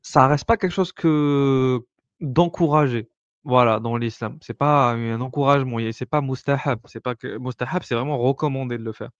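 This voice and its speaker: A man talks through a subject in a relaxed discussion, pausing often and restarting his sentences as he explains. His voice comes through a muffled, lo-fi recording with no room echo. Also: mid-range voice. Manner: hesitant, many fillers and restarts, explaining patiently. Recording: dull lo-fi sound, dry.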